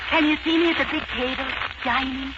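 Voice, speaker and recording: An actress playing a young Irish housemaid in love, with an Irish accent and earnest, emotional 1940s radio acting. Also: female voice, high-pitched, fairly even intonation, a little fast, natural voice. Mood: heated, pained. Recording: noisy 1940s radio broadcast, muffled.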